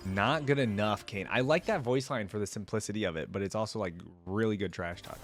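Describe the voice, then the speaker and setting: A man's voice: on the low side, brisk, soft background music. A man hosting a reaction video, giving casual, off-the-cuff commentary.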